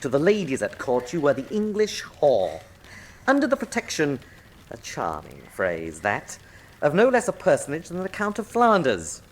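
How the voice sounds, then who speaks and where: An actor playing an urbane, sardonic villainous colonel in a radio drama, suave, theatrical and mocking in manner. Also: male voice, a little high, huge pitch swings, normal speed. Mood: angry, sneering.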